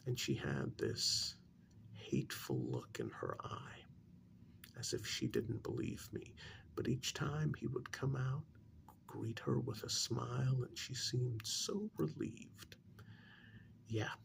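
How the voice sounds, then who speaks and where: Slow, gravelly, half-whispering man acting as male therapist. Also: medium pitch, sing-song, slight background noise, exaggerated voice. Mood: confiding, measured. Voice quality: breathy, half-whispered soft speech. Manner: slow, deliberate, measured with pauses. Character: calm, probing male psychiatrist counseling a supernatural couple. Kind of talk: soft-spoken ASMR role-play.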